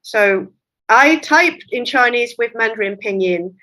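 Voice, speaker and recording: Woman guest, cantonese first language, fairly high voice, thoughtful and chatty, explains with little laughs. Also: animated, a little slow, sharp tone, low-quality recording. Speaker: literary translator, guest. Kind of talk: conversational interview.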